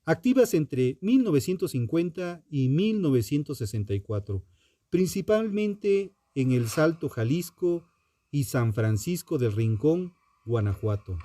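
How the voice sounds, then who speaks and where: A man, a podcast host delivering scripted true-crime narration in a dramatic, deliberate way with pauses. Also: a little high, big pitch swings, dark tone, no room sound.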